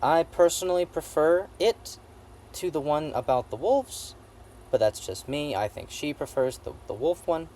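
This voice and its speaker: A man giving a casual, comedic movie review in a rambling, halting way, repeating phrases for emphasis and swearing now and then. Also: fairly high pitch, animated, darkish tone, slight background noise.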